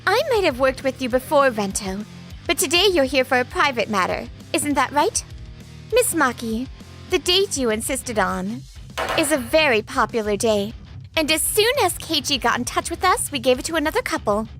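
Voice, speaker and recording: A woman's voice: high-pitched, sing-song, moderate pace, fairly bright tone, soft background music, heavily acted. Stern, formal businesswoman played by a woman. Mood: stern, reproachful. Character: stern, formal female company president refusing clients.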